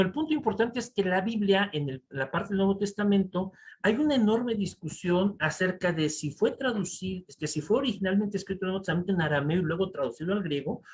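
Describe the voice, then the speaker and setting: A man with a Mexican accent giving a conference lecture over slides, animated and full of rhetorical asides to the audience. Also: high-pitched, a little fast, hardly any pauses. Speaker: conference speaker. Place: conference hall.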